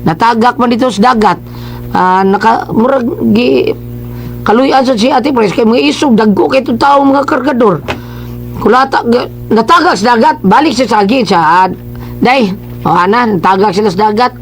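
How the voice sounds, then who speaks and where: High-voiced Cebuano male radio co-host. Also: normal speed, faint music behind. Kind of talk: casual retelling and banter on radio.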